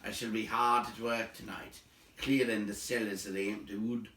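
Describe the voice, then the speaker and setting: A man's voice: on the low side, little pitch movement, normal speed, pushed and bright. A man voicing a sociable, genial elf butler to a king in a storybook. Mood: genial, inviting. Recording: roomy echo, distant from the mic.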